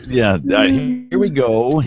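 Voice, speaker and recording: A man's voice: mid-range voice, sing-song, slow pace, lo-fi sound, crowd noise. A man hosting a weather podcast in a studio, with loose, laughing banter. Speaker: podcast host. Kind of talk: casual chat and show opening. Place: broadcast studio.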